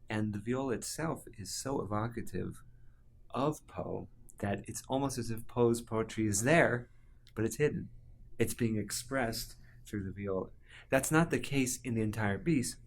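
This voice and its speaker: A man's voice: lowish voice, a little hiss or hum. A man giving a reflective, explanatory interview as a composer talking about his music.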